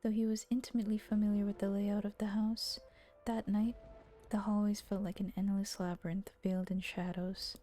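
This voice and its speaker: A woman's voice: medium pitch, level tone, moderate pace, dark tone. A woman livestreaming a visual novel, reading the story aloud and reacting with playful asides. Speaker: streamer voicing a visual novel. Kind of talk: livestream voice-through with casual reactions and dramatic reading.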